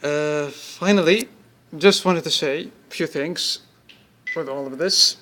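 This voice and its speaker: Man giving a halting demo, noisy recording. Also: fairly high pitch, bright, forceful tone. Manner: halting, pieces sentences together in short bursts. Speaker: app demonstrator. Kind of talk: informal, unscripted product demo.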